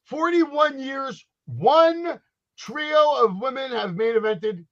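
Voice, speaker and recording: A man in his forties co-hosting a casual wrestling podcast, ranting loudly and slipping into mock wrestler catchphrases. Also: very high voice, animated, takes it slowly, dull audio. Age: 40s. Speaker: podcast co-host.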